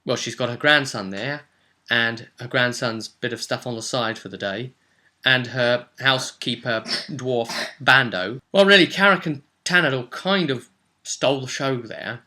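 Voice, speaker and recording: A man hosting as game master, casually recounting a role-playing session with emphatic, animated storytelling. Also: medium pitch, measured pace. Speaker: game master and vlog host.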